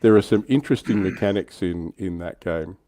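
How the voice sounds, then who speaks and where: A very deep-voiced Australian man hosts a casual hobby livestream. His tone is soft-edged and mellow, and the recording is close and dry. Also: brisk, no room sound. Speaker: livestream host.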